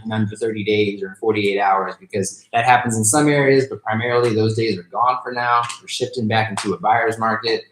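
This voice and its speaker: A man's voice: lowish voice, fairly even intonation, brisk, few pauses, a bit bright, echoey room, a little hiss or hum, low-quality recording. A man in his thirties hosting a casual podcast as a realtor, joking and laughing often as he talks. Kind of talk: casual chat.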